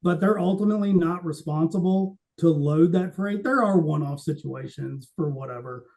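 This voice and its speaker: A man with a relaxed, dark-edged timbre that sits a little high in pitch. He talks casually about his industry, laying out procedures in a plain, explanatory way. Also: normal speed, vocal fry.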